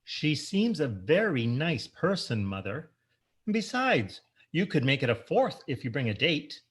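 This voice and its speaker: Man acting a high-voiced, timid mama's boy. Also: very animated, unhurried, breathy edge, heavily acted. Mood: earnest. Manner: meek, earnest. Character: timid, dutiful grown son under his mother's thumb. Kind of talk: comic old-time radio play acting.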